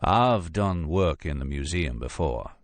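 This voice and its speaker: An audiobook character performed by a male narrator: a courteous male federal agent with a deep, creamy drawl. He is formal, unhurried and drily witty, sure of himself in every phrase. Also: very animated, creaky, no room sound, full character voice. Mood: dry, unhurried.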